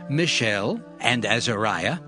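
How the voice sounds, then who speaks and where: A man's voice: lowish voice, expressive, takes it slowly, bright, forceful tone, soft background music. A man narrating scripture as a scripted dramatic reading, in a solemn storytelling manner.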